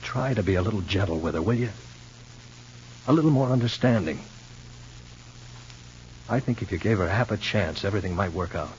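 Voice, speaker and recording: An actor playing an earnest, concerned confidant in an old radio soap opera, with a halting, emphatic delivery. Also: male voice, lowish voice, a little mellow, natural voice. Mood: pleading. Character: earnest, concerned male confidant pleading for understanding. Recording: muffled old radio recording, noisy hum.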